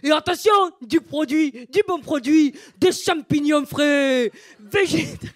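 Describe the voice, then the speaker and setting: A woman reading a food flyer aloud for laughs, shouting out the items, laughing and using slang. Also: high-pitched, a little slow. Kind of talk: comic over-the-top reading, shouting items.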